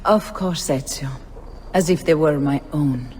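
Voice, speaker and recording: A woman's voice: deep voice, a little slow, darkish tone, a little hiss or hum. A shrewd madam who mentors with cool poise. Her voice carries long reverb, in the manner of a game cutscene. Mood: reassuring. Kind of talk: video game dramatic acting.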